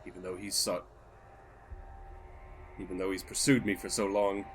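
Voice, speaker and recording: A man voicing an earnest, principled wizard in a solemn, halting way, close to his own voice. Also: deep voice, level tone, a little hiss or hum, close to an everyday voice. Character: earnest, principled male wizard fleeing an inquisitor.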